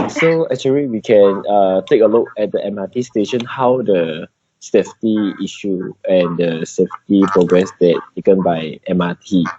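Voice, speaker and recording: A man with a Malaysian accent giving an update in an informal work meeting on a video call, in halting non-native English. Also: fairly low pitch. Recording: dull, noisy video call with clicks.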